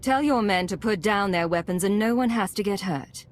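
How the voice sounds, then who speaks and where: A woman's voice: medium pitch, normal speed, slight background noise, lightly acted. A woman playing a controlled, authoritative imperial secret agent in video game dialogue. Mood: commanding. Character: cool, commanding female imperial secret agent.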